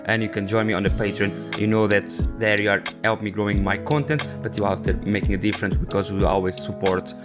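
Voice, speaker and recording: A man's voice: fairly low pitch, steady tone, over loud music. Portuguese-accented man hosting a podcast. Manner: halting, non-native phrasing with many "you know"s. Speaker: podcast host. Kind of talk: casual interview chat.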